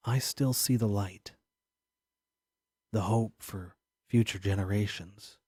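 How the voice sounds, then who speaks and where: Man acting a husky, low-voiced haunted narrator. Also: darkish tone, no echo, studio quality. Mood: grim, defiant. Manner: brooding, deliberate, literary. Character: haunted, paranoid man narrating his own unraveling mystery. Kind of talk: dramatic first-person audio drama narration.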